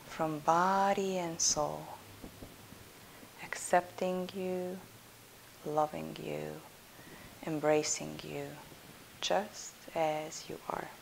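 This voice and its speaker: A woman leading a guided relaxation meditation as a yoga instructor, soothing and soft, breathy at phrase ends, with long pauses between phrases. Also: deep voice, poor audio. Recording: steady background hiss.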